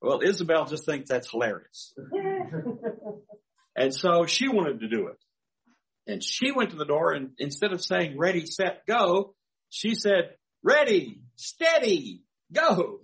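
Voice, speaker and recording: Older man preaching, lively and unhurried. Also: high-pitched. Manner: folksy storytelling, chuckling asides. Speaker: pastor. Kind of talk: sermon with personal anecdotes.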